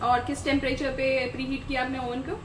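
Food vlogger, woman in her thirties, bright high voice, chatty code-switcher, loud traffic noise behind. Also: little pitch movement, quick pace. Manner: chatty, mixes Hindi and English. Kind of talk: casual food vlog chat. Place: outdoor food park at night, then small restaurant kitchen.

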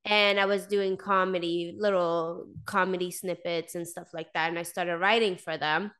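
A woman's voice: lowish voice, fairly even intonation, normal speed, a bit bright, clear, resonant tone. A woman in her thirties, raised in Sweden, talking casually as a podcast guest over a video call, with lots of fillers and a desk microphone close by. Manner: chatty, many fillers and "like". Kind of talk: casual interview talk. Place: small indoor room, video call. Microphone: desk microphone in frame.

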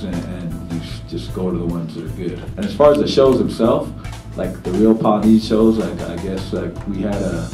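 Deep-voiced man answering panel questions. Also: reverberant, distant mic. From New Zealand. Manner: relaxed, rambling with fillers. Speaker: reggae band singer on a panel.

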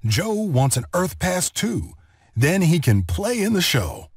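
A man narrating a children's read-along story in an expressive, deliberate storytelling manner. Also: mid-range voice, dry recording, studio quality. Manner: expressive, deliberate storytelling delivery with clear phrasing.

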